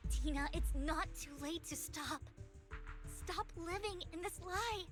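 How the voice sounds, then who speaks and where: A woman's voice: very high voice, a little slow. A woman acting an earnest young video game heroine, righteous and pleading as she confronts someone. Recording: game music behind the voice.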